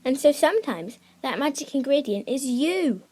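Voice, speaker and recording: Woman, higher-pitched voice, children's writing teacher, scripted instructional narration, simple and encouraging delivery. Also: very animated, moderate pace, warm tone. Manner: simple, encouraging address to young listeners. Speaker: creative writing teacher and video host.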